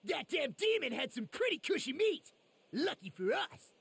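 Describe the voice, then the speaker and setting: Cocky wild anime game boy, rough gravelly yelling voice, really high. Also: sing-song, moderate pace, no echo, full character voice. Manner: loud, boastful, rough. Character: brash, cocky, wild teenage boy fighter.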